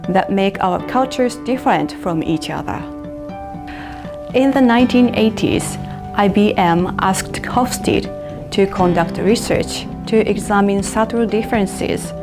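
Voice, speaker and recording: A woman from Japan in her thirties, an English teacher, narrating a class presentation in a measured, explanatory way. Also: mid-range voice.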